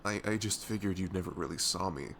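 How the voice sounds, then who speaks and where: A man playing a devoted, goofy young best friend in an ASMR audio roleplay, earnest and nervously lovestruck, with a soft velvety voice. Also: low-pitched, a little hiss or hum. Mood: tender confessing. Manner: hesitant, halting, earnest.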